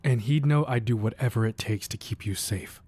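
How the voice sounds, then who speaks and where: A man playing a protective, ruthless crime boss who is tender with his wife, speaking softly and earnestly in an intimate romantic ASMR roleplay. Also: medium pitch, natural voice. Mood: worried, tender. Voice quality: soft, husky, breathy. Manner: earnest, measured, firm yet affectionate.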